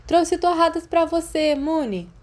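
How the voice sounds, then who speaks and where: A woman's voice: very high-pitched, animated, a little slow, voice pushed up. A woman with a Brazilian accent voicing a friendly, considerate teenage schoolboy in an audiobook. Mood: friendly, raised. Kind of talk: audiobook character dialogue.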